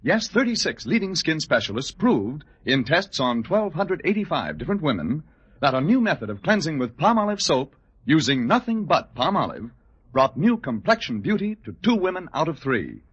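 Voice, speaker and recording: An American man reads advertising in 1940s radio style, emphatic and instructional. His voice is fairly high, lively and rough-edged, on a dull vintage broadcast. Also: normal speed. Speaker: soap commercial announcer.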